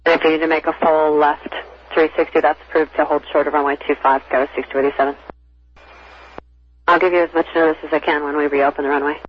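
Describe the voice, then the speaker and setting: A man's voice: a little high, little pitch movement, fast, breathy edge. A man working as an air traffic controller, talking to pilots over aviation radio in a courteous, explanatory manner. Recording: muffled aviation radio, faint static.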